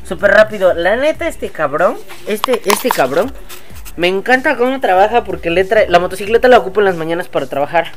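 A man's voice: high voice, lively intonation, brisk, faint music behind. A man in his thirties with a Mexican accent, a motovlog host chatting casually and talking straight to his viewers in an outdoor workshop yard. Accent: Mexican Spanish. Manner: chatty, slangy, addresses viewers directly. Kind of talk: casual vlog chat.

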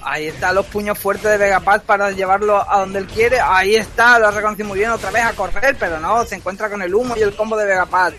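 A man doing fast, excitable play-by-play commentary on a fighting game, joking and shouting at big moments. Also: high-pitched. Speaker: esports commentator.

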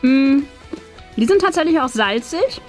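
A woman's voice: sits high, animated, measured pace. A woman, hosting a casual, chatty product review. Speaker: host of an unboxing video. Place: small decorated indoor room. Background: faint music.

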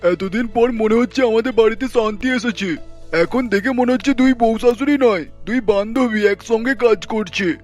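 Man acting a high-pitched cartoon father-in-law. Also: normal speed, darkish tone, dull audio, soft background music. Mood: contented. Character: plain-spoken middle-aged father-in-law, head of household. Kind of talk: Bengali cartoon family drama acting.

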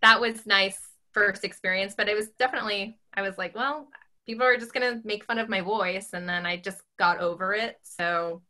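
A woman in her thirties, a real estate agent, chatting on a video call from home in a squeaky, animated voice full of ums. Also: normal speed. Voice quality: squeaky, high-pitched voice. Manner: animated, talks with her hands, many ums. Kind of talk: casual video call chat. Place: small bedroom at home, indoors. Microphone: laptop webcam on video call.

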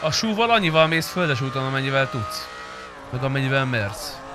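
A man's voice: medium pitch, very animated. A young adult man chatting casually and swearing freely as he streams a roleplay game. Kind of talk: casual roleplay gaming chat. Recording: clear car engine noise behind.

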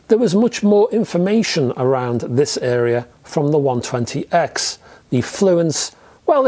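A man in his fifties with a British accent, presenting a scripted product review in a measured, descriptive way with emphatic phrasing. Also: on the high side, darkish tone, breathy edge. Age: 50s. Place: indoor room with record shelves.

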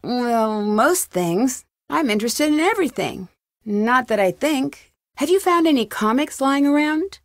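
A young woman detective for a video game, her voice on the high side and recorded dry. She is inquisitive and level-headed, putting questions in an earnest, probing way. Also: animated, unhurried, no echo. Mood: curious, friendly. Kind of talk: video game voice acting, isolated dialogue lines.

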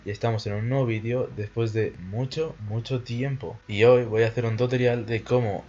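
A man's voice: mid-range voice, fairly even intonation, normal speed, a little mellow, highs cut off. A young man with an accent from Spain, presenting a casual video game tutorial as host over background music.

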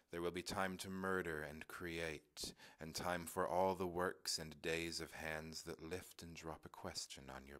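A man reading a poem aloud in a measured, expressive dramatic recitation, with phrases that often trail off quietly. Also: rumbling low. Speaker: poetry reader.

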